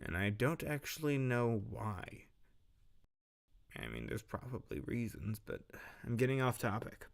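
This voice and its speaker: A man's voice: mid-range voice, animated, a little slow, vocal fry. A man who voice-acts as an amateur, muttering casual, self-critical asides to himself between takes. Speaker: amateur voice actor recording lines.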